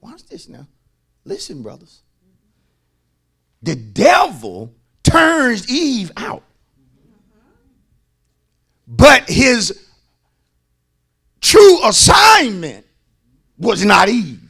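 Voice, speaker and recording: An American man preaches as a pastor in a high, raspy voice with a forceful edge. His delivery is slow and emphatic, full of folksy repetition. It swings widely between hushed asides and full-throated shouting. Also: wildly swooping pitch. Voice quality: raspy, gravelly. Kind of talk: fervent sermon.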